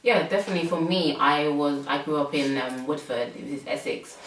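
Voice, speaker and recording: Young woman host, low voice, chatty with fillers, roomy room sound from off mic. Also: fairly even intonation, a little fast, recorded from a distance, slight background noise. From England. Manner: chatty, many fillers, laughs often. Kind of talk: casual chat.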